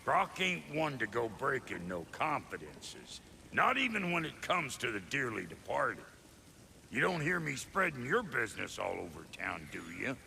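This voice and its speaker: An actor playing a blunt, folksy smith in a video game, gruff and gravelly, with brash colloquial talk. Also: male voice, medium pitch, sing-song, normal speed, a little hiss or hum, heavily acted. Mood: firm, indignant. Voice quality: gravelly, sharp.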